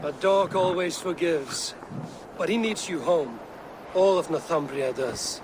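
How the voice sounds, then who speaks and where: Stern Viking warrior, loyal and blunt, male actor, slightly raised pitch, terse video game delivery over clear background noise. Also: measured pace, close to an everyday voice. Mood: reassuring. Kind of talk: dramatic game dialogue. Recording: outdoor game ambience behind the voice.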